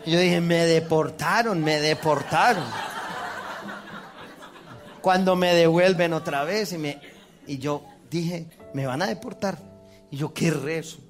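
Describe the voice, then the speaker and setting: A Colombian man with a somewhat high voice, preaching as a priest with a Colombian Spanish accent. He tells his testimony like a story, acting out the other people's lines and laughing at himself. Faint music plays behind him. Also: measured pace, pauses often.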